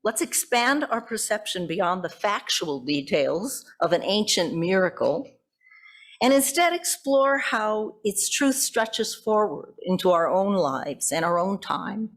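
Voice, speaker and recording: A woman's voice: medium pitch, big pitch swings, measured pace. A woman pastor preaching a prepared sermon in a conversational, reflective way with informal asides.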